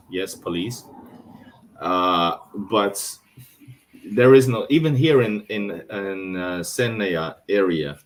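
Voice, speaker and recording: Deep-voiced Russian man rambling casually. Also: slight background noise. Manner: rambling, with restarts and self-corrections. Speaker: travel vlogger. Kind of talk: casual talk over his own video footage.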